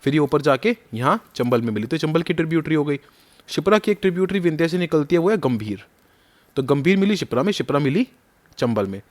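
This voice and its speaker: A man's voice: fairly high pitch, lively intonation, quick pace. A man teaching a map lesson for exam prep. He quizzes the listener and repeats the key names, and his throat is a little sore.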